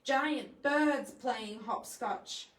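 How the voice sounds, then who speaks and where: In an echoey room, a bit away from the microphone, a woman reads a children's storybook aloud. Her voice sits fairly high, and she is playful and expressive, dropping in chatty asides to her young audience. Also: drawn-out words, far from the mic. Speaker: library storytime presenter. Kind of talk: children's picture book read-aloud with asides.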